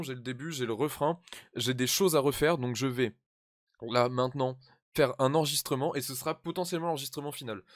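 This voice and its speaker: A man's voice: mid-range voice, dry recording. A man chatting casually and explaining as he goes, hesitant and thinking aloud in an informal way. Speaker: music video creator recording a drill track. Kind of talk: casual explanatory vlog chat.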